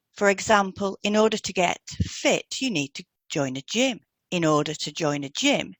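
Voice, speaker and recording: A woman's voice: lowish voice, no echo. A woman co-hosting a podcast, explaining therapy techniques in a scripted dialogue. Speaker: podcast co-host, hypnotherapist.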